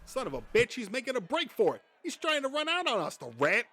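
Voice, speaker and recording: Man acting a furious, high-pitched loudmouth nerd. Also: wildly swooping pitch, quick pace, full character voice. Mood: furious, shouting. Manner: ranting, sarcastic, profane. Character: furious, accusing loudmouth nerd man, bitter and foul-mouthed. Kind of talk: amateur comic dub acting.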